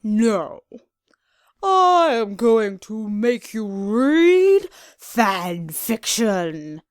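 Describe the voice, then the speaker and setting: A woman voicing a wicked male villain who taunts in a slow, menacing, drawn-out manner for a fan story. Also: on the high side, sing-song, no echo, clean studio sound, full character voice. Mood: gloating menace.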